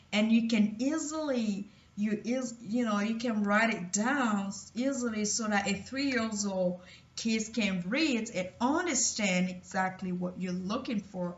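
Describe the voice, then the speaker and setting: A woman from Cameroon with a Cameroonian French accent, giving a halting personal motivational talk full of restarts. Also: mid-range voice. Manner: halting, many restarts and fillers. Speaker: motivational vlogger. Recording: roomy, distant, dull lo-fi sound.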